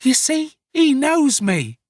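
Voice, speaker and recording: Guy doing a hysterical young woman for an audiobook, super high, wailing and fake distressed. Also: very animated, slow, no echo, clean studio sound, full character voice. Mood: indignant, triumphant. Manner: wailing, melodramatic exclamations.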